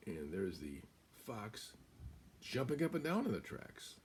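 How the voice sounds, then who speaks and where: An older American man reading a children's picture book aloud, deliberate and expressive, with pauses. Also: lowish voice, a little hiss or hum. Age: older, about 70s. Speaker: guest storyteller. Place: small room at home, indoors.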